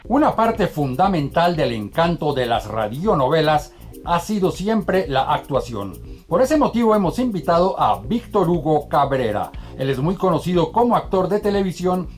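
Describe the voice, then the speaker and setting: A man's voice: fairly high pitch, sing-song, moderate pace, faint music behind. A man with a Colombian accent presenting and interviewing as a program host, courteous and polished.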